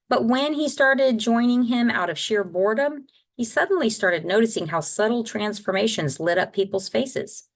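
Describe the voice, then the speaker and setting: A woman reading a children's novel aloud as a guest storyteller, in scripted narration. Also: mid-range voice. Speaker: guest reader.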